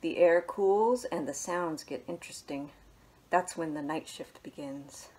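Slow, gentle woman reading a storybook. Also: low voice, husky. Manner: gentle storytelling with soft, hushed asides. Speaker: children's librarian. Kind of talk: reading a picture book aloud. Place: small living room at home.

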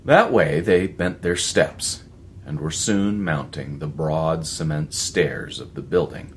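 A man in his fifties reading a story as a voice actor, in a measured, expressive, dramatic narration. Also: extremely low pitch, slight background noise. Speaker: voice actor, audiobook reader. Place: living room, indoors.

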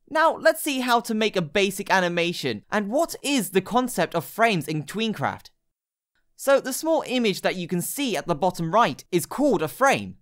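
A woman reading a scripted step-by-step tutorial in an instructional manner, giving short, clear directions. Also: medium pitch. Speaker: tutorial narrator.

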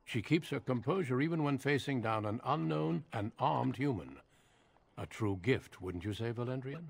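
A man's voice: expressive, normal speed, no echo. A man playing a grave, courteous middle-aged warrior commander in a fantasy video game, with formal, composed delivery. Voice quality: low, husky.

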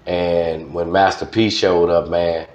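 Low-voiced Southern man, roomy sound. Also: little pitch movement, slow, a little mellow. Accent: Southern US, Louisiana. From Baton Rouge, Louisiana. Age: middle-aged. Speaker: radio DJ being interviewed. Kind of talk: casual storytelling in an interview. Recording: roomy, dull lo-fi audio.

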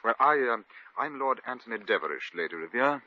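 An actor in a 1950s radio comedy playing a courtly, smitten English lord with a posh British accent and an effusive, slightly flustered manner. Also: male voice, lowish voice, lively intonation, fairly quick, sharp tone, dry recording, full character voice. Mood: charming, polite. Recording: dull old 1950 radio recording.

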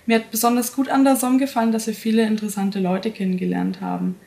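A woman of twenty-one, an apprentice at a music store, giving a friendly, brisk testimonial. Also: medium pitch, flat delivery, vocal fry, darkish tone. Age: 20s. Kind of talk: testimonial to camera.

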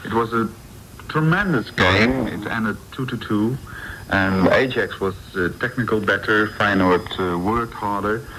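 Male sports editor, Dutch accent, lowish voice, hesitant phrasing, faintly noisy shortwave recording. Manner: halting, non-native phrasing. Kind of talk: unscripted radio interview.

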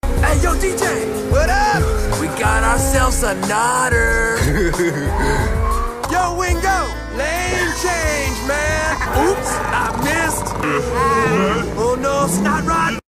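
A giggling, slangy prankster street car talking very slowly in a high, bouncy voice. Loud music runs under the cartoon film audio. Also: male voice, sing-song, exaggerated voice. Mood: mischievous.

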